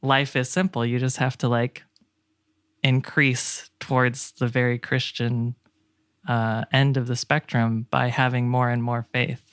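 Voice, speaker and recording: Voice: mid-range voice, little pitch movement, unhurried, a bit bright, clean tone, no room sound, studio quality. A man speaking as a podcast guest in a casual discussion, thinking aloud in a reflective, tentative way. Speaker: game developer.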